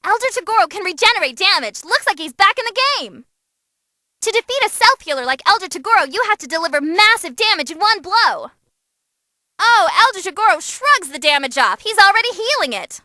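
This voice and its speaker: Super high bright perky girl fight announcer, game voice, wisecracking and theatrical. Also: very animated, a little fast, no room sound, studio quality, full character voice. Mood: cheeky commentating. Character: excitable young female tournament ring announcer, cheeky and chatty. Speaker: match commentator. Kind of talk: animated fight commentary, game voice clips.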